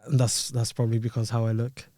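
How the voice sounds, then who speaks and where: A man's voice: medium pitch, monotone, fast, mellow, no echo, clean studio sound. A man from Italy, a podcast guest, chatting casually and laughing often, dropping "man" and "bro.". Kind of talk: casual chat.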